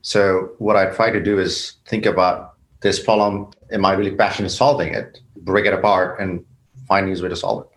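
A man from Bangladesh speaks as an interview guest, his voice sitting on the low side. He talks thoughtfully, breaking ideas into steps and now and then repeating a word as he thinks. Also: level tone, moderate pace, a little hiss or hum, low-quality recording. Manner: reflective, explains in steps, repeats words. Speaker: company founder, guest. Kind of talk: interview conversation.

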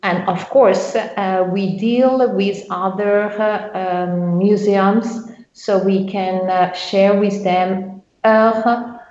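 A woman working as a bank's culture director answers interview questions hesitantly, often pausing to find her words. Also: medium pitch, fairly even intonation, a little mellow. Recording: roomy, dull lo-fi sound.

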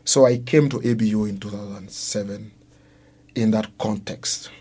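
A man from the Democratic Republic of Congo with a Congolese accent and Luo as his first language, giving a personal account as an interview guest in halting, deliberate phrases. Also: fairly low pitch, steady tone, warm tone, slightly rough.

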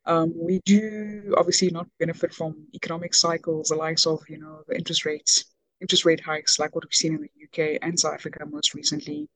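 Woman, from Ghana, interview guest, hesitant, level monotone, dry recording. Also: deep voice, dry recording, poor audio. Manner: hesitant, many ums and restarts. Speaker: podcast guest, business executive. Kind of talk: conversational interview.

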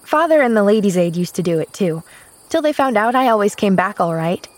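A woman's voice: mid-range voice, lively intonation, brisk, natural voice. A woman voicing an earnest, carefree little girl in an audiobook, sweet and chatty. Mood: apologetic, reassuring. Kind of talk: audiobook character voice.

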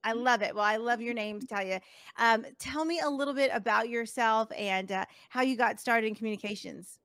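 A woman hosting a professional association podcast, upbeat and effusive, warmly affirming her guest. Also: fairly high pitch, normal speed, dry recording. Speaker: podcast host. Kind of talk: enthusiastic podcast interview.

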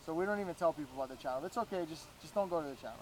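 A man's voice: fairly high pitch, very fast, slight background noise, poor audio. A man from Florida, a real estate agent, telling stories as a guest in a casual podcast interview and acting out what people said to him. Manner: animated storyteller, acts out quoted voices.

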